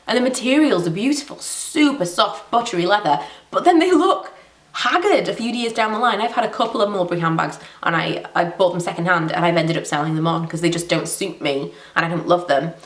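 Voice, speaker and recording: A woman's voice: fairly low pitch, brisk, distant mic. Congested woman in a roomy echo. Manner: chatty, rambling, self-deprecating. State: blocked nose from a cold. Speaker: beauty vlogger. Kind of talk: casual Q&A vlog.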